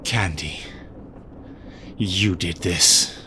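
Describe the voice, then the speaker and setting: A man playing a maimed, distraught hero in an audio drama, speaking haltingly. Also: sits low, sharp tone, slight background noise, exaggerated voice. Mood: bitter anger. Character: maimed, anguished winged hero in a hospital bed.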